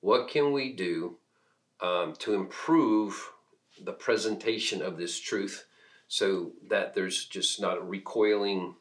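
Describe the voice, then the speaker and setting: A middle-aged man with a deep voice talks through ideas in conversation. He is reflective and often halts to find the right word, as a minister would in an informal discussion. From Peru. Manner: reflective, halting, searching for words.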